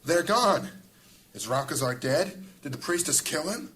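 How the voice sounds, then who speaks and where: A man's voice: a little high, animated, measured pace, a bit bright, a little hiss or hum. A man playing an anxious, awestruck mage in a fantasy audio drama, with an urgent, questioning delivery.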